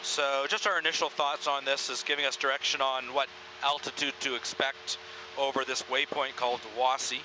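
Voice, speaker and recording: Calm pilot explaining in a cockpit. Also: male voice, mid-range voice, moderate pace. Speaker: airline first officer. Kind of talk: cockpit commentary. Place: airliner cockpit. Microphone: aviation headset. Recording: engine noise behind.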